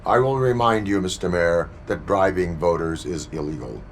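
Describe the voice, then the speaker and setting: Game cutscene role: deep-voiced cyborg lawman, stoic, clipped and formal. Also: normal speed, a little hiss or hum. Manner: flat, formal, clipped speech. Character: stoic male cyborg police officer. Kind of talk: video game cutscene acting.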